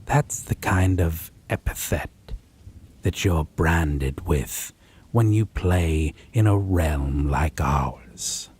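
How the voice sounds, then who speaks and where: A man playing a possessive, wounded vampire in a roleplay audio drama, speaking with mocking refinement, theatrical phrasing and a breathy, husky voice. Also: very deep voice, drawn-out words, a little mellow, heavily acted. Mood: menacing, knowing. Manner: pedantic, sardonic, theatrical, lingering phrasing.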